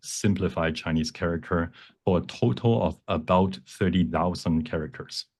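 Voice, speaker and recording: A man with a low voice leads a live workshop demonstration on his computer. He explains step by step, haltingly, as he works through the material. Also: darkish tone. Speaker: workshop presenter. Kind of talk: live software demonstration talk. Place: online presentation at a computer.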